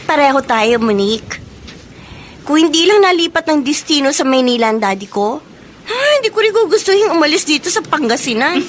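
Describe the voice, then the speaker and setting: An actress playing a chatty, breezy, slightly bossy young friend in a Filipino radio drama, with a Filipino accent. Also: female voice, high-pitched, sing-song, a little fast. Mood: friendly.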